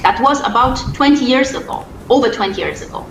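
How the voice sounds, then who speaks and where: A woman's voice: high voice, a little fast. A woman in her thirties from Afghanistan, answering interview questions with many hesitations and restarts. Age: 30s. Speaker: interview guest. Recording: echoey distant remote call with faint noise.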